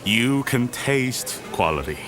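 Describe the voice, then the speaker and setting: A man's voice: mid-range voice, very animated, takes it slowly. An actor playing a middle-aged businessman uncle, jovial yet ruthless in an audio drama. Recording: music behind the voice.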